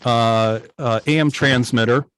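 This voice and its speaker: A middle-aged man casually telling his own story on a video call, pausing often as he finds his words. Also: medium pitch, fairly even intonation, fairly bright tone, slight background noise. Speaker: guest, founder of an old-time radio station. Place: online video meeting. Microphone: video call microphone.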